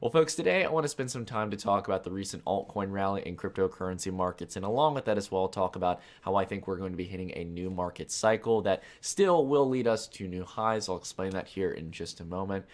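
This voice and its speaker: A man's voice: sits low, fairly quick, hardly any pauses. A young man with a stuffed-up nose, hosting casual market commentary in a chatty way full of repeated filler phrases. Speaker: crypto market channel host.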